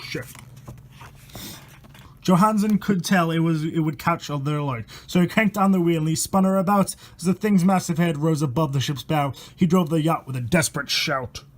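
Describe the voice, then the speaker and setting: A man's voice: fairly high pitch, moderate pace. A man reading a rhyming horror story aloud in a dramatic, irreverent way, stumbling over words and swearing in casual asides. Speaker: amateur storyteller and host.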